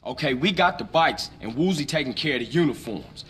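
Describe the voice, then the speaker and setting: A man's voice: medium pitch, expressive, a little fast, fairly bright tone, natural voice. Man playing a blunt, streetwise crew boss. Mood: commanding. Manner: blunt, commanding, casual slang. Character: bold, streetwise young male gangster leading a heist. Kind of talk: video game cutscene acting. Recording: faint background noise.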